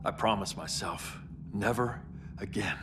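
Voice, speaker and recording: An actor playing a stern, grieving alien superhero who rules with authority, in a dramatic video game cutscene. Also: male voice, lowish voice, measured pace, music in the background, lightly acted. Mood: pained. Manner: commanding, intense.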